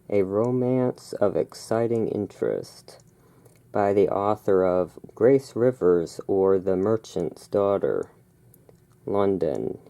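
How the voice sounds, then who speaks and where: A host giving a relaxed ASMR read-aloud, drifting into casual, musing asides. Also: sits low, gravelly. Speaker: host reading and commenting on a magazine.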